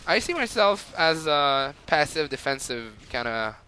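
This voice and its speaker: A man's voice: a little high, big pitch swings, a little slow, bright, forceful tone, clear, resonant tone. A young man with a Quebec French accent, rambling through casual gameplay commentary with lots of fillers. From Montreal, Canada. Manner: rambling and chatty, with frequent fillers and laughs. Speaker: gamer.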